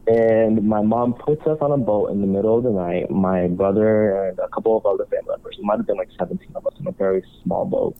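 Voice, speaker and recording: A man who was born in Cuba and works as a marriage counselor calmly tells his life story as a guest on a talk show. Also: on the low side, fast, hardly any pauses. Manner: calm, reflective storytelling. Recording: tinny phone-line sound.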